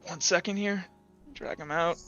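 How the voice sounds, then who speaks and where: A man working as game master, improvising scene narration in a halting way and repeating words as he describes. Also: sits high, steady tone, fairly bright tone. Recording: dull audio, faint background music.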